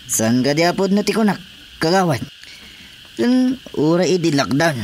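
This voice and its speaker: A man's voice: on the high side, very animated, moderate pace, a little mellow, slight background noise. Grumbling village fisherman. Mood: complaining. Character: disgruntled male village fisherman with a grievance. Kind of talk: Ilocano radio drama acting.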